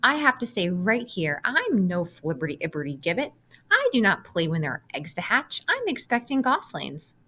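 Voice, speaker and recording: A woman voicing a fussy mother goose in a storybook, brisk and repeating her words. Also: medium pitch, wildly swooping pitch, pushed and bright, full character voice. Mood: fussy, busy.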